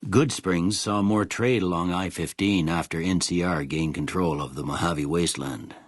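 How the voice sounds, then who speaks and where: A man playing a kindly, folksy old frontier doctor in a video game, with a husky voice and an unhurried American Western drawl. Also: sits low, no room sound, studio quality. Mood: somber storytelling. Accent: American Western or Southern drawl. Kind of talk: scripted game dialogue.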